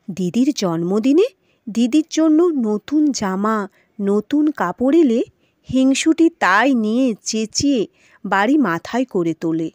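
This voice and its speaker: A woman storyteller narrating a children's story in an expressive, dramatic and emphatic way. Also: medium pitch, no echo, clean studio sound.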